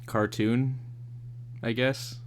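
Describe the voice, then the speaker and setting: A man hosting a readalong, chatting in a relaxed and slightly hesitant way. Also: medium pitch, drawn-out words, a little hiss or hum. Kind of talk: casual chat.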